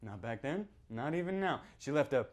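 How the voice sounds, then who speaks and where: Male reader as rueful, haunted small-town yarn-spinner. Mid-pitched, folksy, halting, theatrical live-story monologue with swings from hushed to emphatic. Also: expressive, lightly acted. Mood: haunted, yearning. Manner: folksy, halting, rhetorical asides, swings between hush and outburst. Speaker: author reading from his novel. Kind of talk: theatrical dramatic reading, first-person monologue.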